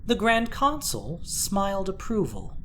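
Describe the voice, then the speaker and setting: A woman narrating a dramatic story from a script, expressive and deliberate in her telling. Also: medium pitch, a little hiss or hum.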